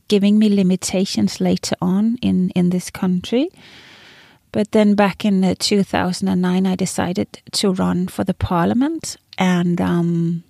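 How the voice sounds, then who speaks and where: Middle-aged woman, native Danish speaker from Greenland, dark mellow tone, gravelly creak, interview guest. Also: mid-range voice, no room sound, clean studio sound. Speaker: interview guest, executive and former politician. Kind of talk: personal career account in an interview.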